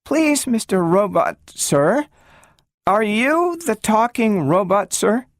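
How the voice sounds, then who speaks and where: Brief: male narrator playing a small, earnest girl, high treble, very polite, leaping pitch. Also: big pitch swings, slow, no echo, clean studio sound, full character voice.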